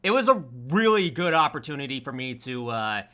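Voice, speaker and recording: A man's voice: mid-range voice, big pitch swings, fairly quick, fairly bright tone. A man chatting casually about his creative work, rambling and often restarting his sentences. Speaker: audio drama voice actor and editor. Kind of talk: casual chat.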